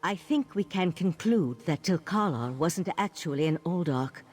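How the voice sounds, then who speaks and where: Husky, composed scholar mage. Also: female voice, fairly low pitch, animated, darkish tone. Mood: composed, thoughtful. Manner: measured, explanatory. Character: earnest, composed female mage of a scholarly order. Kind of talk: video game character dialogue.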